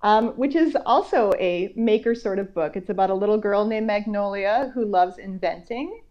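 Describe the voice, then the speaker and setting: A woman's voice: medium pitch, normal speed. Female children's author, US, conversational guest, enthusiastic explainer, muffled call-quality recording. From United States. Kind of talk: conversational interview chat about books. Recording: dull, lo-fi video call audio.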